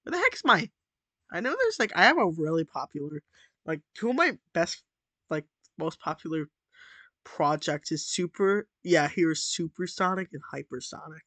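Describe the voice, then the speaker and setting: A man's voice: fairly high pitch, very animated, normal speed, no room sound. A boy in his early teens rambling casually through commentary over a screen recording, full of "like" and "dude". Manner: rambling, distracted, lots of "like" and "dude". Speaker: channel host. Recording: loud background music.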